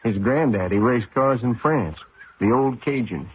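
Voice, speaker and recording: A man's voice: mid-range voice, a little slow, natural voice. Actor as cocky male race driver, tinny radio. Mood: good-natured. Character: cocky but good-natured race driver, young husband and father. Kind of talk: radio drama acting. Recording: noisy, tinny old radio broadcast.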